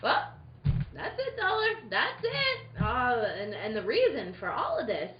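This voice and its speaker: A woman playing a melodramatic, scheming man in a detective radio play, theatrical and halting in delivery. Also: high-pitched, huge pitch swings, heavily acted. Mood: aggrieved. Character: melodramatic scheming man, aggrieved brother turning gloating villain. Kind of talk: 1960s detective radio play, live amateur reading. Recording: echoey room, muffled and noisy.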